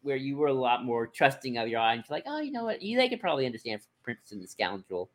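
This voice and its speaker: A podcast co-host in casual discussion, speaking in a hesitant, halting way as if thinking aloud. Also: mid-range voice, sing-song. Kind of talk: casual discussion of franchise books and comics.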